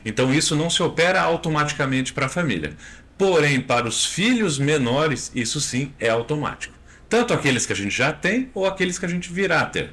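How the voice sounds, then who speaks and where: A Brazilian man in his thirties, a lawyer answering interview questions in a measured, step-by-step way with frequent tag questions. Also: on the high side, sing-song, a bit bright. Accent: Brazilian Portuguese.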